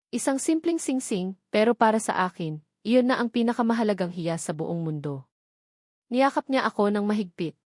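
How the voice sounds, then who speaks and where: A woman narrates a love story in the first person, softly and with feeling. Her voice sits at a middling pitch. The recording is dry and close, with no room sound at all. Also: no echo. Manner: soft, heartfelt storytelling.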